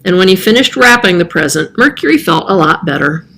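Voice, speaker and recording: A woman's voice: on the low side, animated, fairly quick, fairly bright tone. A woman reading a children's story aloud as scripted narration. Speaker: storybook reader.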